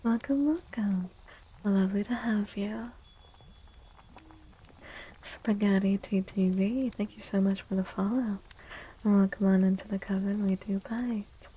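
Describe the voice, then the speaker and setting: A woman hosts a soft-spoken ASMR livestream in a mellow, breathy voice. She takes her time, gently stretching words and often dropping into a whisper. Her delivery is quiet, relaxed and chatty. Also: mid-range voice, low-quality recording. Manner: slow, gentle, drawn-out words. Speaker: livestream host.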